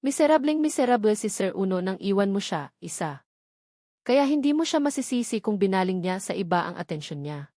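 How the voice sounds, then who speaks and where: A woman playing a kindly female household helper in an audiobook, gently explaining, with a Filipino accent. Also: mid-range voice, sing-song, a little fast, no room sound, studio quality. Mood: sympathetic, explaining. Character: sympathetic older-sister household helper, woman.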